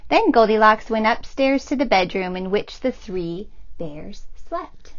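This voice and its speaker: A woman, a children's librarian, reading a picture book aloud in a gentle, expressive storytelling manner. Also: medium pitch, measured pace, dull audio.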